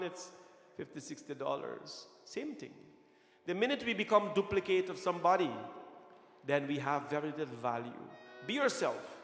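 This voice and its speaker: A middle-aged man from Guyana with a Guyanese accent, giving an earnest temple sermon with repeated phrases and questions to the congregation. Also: fairly high pitch. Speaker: temple pastor. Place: temple hall. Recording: echoey hall reverb.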